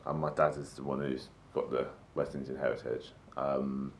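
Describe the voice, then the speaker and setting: A man's voice: rumbling low, level tone, normal speed. A young man answering interview questions casually and candidly about his family.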